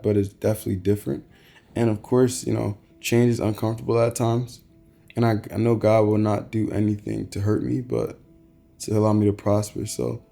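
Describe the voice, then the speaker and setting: A young man vlogging in a soft, reflective, rambling way, with trailing fillers. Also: lowish voice, vocal fry. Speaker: content creator. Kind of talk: casual vlog talk.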